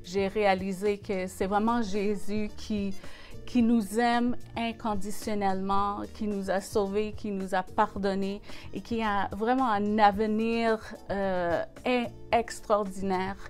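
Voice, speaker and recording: A woman in her forties from Western Canada, a native English speaker, talks in French with an English Canadian accent. She speaks slowly and pauses often, with a lot of hesitations. Faint music plays behind her. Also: medium pitch, level tone. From Saskatchewan, Western Canada. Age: 40s. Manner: halting, many hesitations. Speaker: gospel singer and guest. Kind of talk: casual interview.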